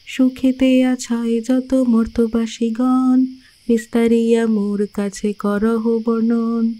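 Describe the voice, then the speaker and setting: Casting: devout village wife and mother, expressive, unhurried, higher-pitched, for audio drama. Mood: devout, chanting. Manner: coaxing, expressive. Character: devout, placating village housewife and protective mother.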